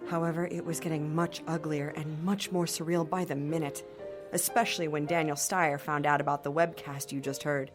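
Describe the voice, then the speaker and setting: Actress as a low-voiced, knowing woman narrator. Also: lightly acted. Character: knowing female narrator recounting events firsthand. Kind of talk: scripted drama narration. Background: music.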